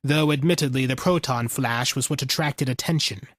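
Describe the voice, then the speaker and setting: A man voicing a sardonic, battle-weary veteran with a deliberate, commanding manner in an audiobook. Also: mid-range voice, fairly bright tone, no room sound, studio quality, lightly acted. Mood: weary, matter-of-fact.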